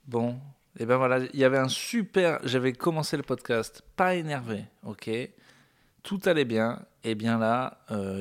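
Rambling, ironic male podcaster. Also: medium pitch. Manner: rambling, ironic, jokey asides. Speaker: podcast host. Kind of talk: solo casual podcast chat.

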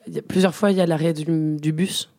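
A woman co-hosting a casual film podcast, often pausing and searching for words with many fillers. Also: sits low, fairly even intonation, a little mellow, dry recording. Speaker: podcast co-host.

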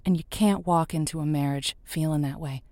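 An actress playing an earnest romance advice host on a call-in radio show, reassuring and emphatic, drawing out key words. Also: female voice, sits low, steady tone, fairly quick, dark tone, dry recording, lightly acted. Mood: earnest, encouraging. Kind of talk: semi-improvised audio comedy as radio advice show.

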